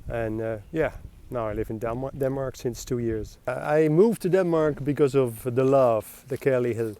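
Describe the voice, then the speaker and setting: A man's voice: medium pitch, moderate pace, warm tone. A young Dutch man with a Dutch accent, answering street interview questions in English with frequent hesitant fillers. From Netherlands.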